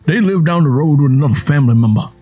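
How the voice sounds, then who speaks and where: A high-voiced young rural husband, acted out by a male audiobook narrator. His pitch swings widely as he badgers and questions in folksy dialect. He is rough, domineering and volatile. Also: lively intonation, fast talker, mellow, faint music behind. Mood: matter-of-fact.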